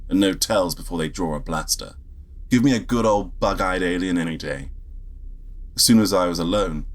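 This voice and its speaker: Grumpy, husky low-voiced space agent. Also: male voice. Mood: wry narrating. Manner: wry, grumbling, sardonic. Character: grumpy, trigger-happy male space agent, sardonic and exasperated. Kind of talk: first-person sci-fi audio drama narration and dialogue.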